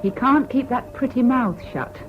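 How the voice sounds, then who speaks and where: An actress playing a frank, bossy but affectionate young British woman in a radio drama, brisk and teasing. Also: fairly high pitch, close to an everyday voice. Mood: wistful, candid. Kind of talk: BBC radio drama acting. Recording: dull lo-fi old radio broadcast, hissy.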